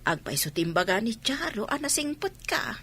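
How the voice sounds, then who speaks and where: A woman's voice: fairly high pitch, very animated, normal speed, fairly bright tone, husky, no echo, full character voice. A woman playing a kindly, fussing elderly mother-in-law with an Ilocano accent in a radio drama.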